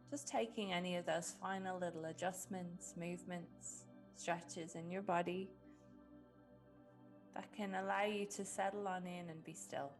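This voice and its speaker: A woman leading a guided meditation in a gentle, unhurried way with long pauses. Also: on the low side. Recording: music behind the voice. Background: background music.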